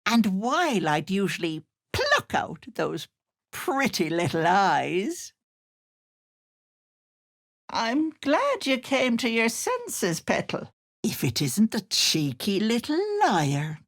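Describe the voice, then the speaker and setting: A woman's voice: medium pitch, big pitch swings, slow pace, heavily acted. A woman playing a sly, menacing older witch in a video game, theatrical, taunting and mock-affectionate.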